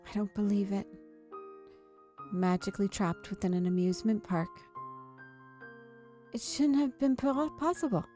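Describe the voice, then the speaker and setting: Mellow-voiced woman reading a story aloud. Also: medium pitch, unhurried, pauses often, soft background music. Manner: occasionally stumbles over words and rereads. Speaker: streamer reading a visual novel aloud. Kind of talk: read-aloud story narration.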